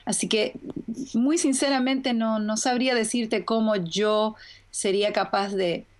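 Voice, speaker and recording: A woman's voice: a little high, fairly even intonation, measured pace. Candid woman with neutral Latin American accent. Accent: neutral Latin American Spanish. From Argentine and Puerto Rican roots, raised in Puerto Rico. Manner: candid, laughs often, restarts phrases. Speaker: bilingual voice-over artist, guest. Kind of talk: casual interview answers. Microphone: video call.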